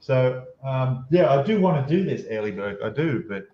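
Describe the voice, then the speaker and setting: A man hosting a casual live stream hangout with friends over a voice call, chatty and quick to laugh. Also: medium pitch, very animated, muffled recording. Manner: laughs easily, chatty and joking. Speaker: channel host.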